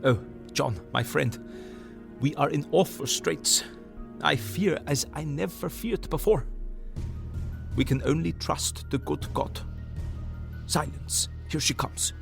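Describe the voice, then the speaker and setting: An actor playing an older foreign professor in an audio drama, earnest and emphatic, with halting foreign syntax. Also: male voice, a little high, gravelly, music in the background, exaggerated voice. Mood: anxious.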